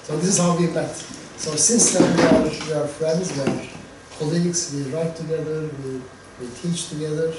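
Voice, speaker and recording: A man in his fifties with a Turkish accent, introducing a guest at a seminar in a measured, personal way. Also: on the high side, steady tone. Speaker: seminar host. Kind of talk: introducing a speaker. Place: seminar room. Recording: echoey seminar room, distant mic, background noise.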